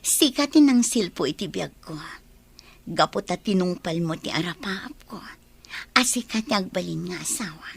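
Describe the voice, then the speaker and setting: A woman playing a tender young wife, sentimental and breathy, in an Ilocano radio drama. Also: fairly low pitch, lively intonation, moderate pace, exaggerated voice. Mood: adoring. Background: music.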